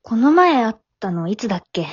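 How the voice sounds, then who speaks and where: A woman playing a casual high school girl who is reserved with her father, in an amateur radio drama. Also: very animated, brisk, breathy edge. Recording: dull remote home recording.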